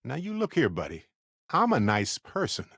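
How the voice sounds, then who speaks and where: A man voicing an upbeat, wisecracking, warm-hearted space explorer companion in a video game, chatty and wry with theatrical emphasis. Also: mid-range voice, wildly swooping pitch, moderate pace, vocal fry, husky, no room sound, clean studio sound, heavily acted. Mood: playful, wry.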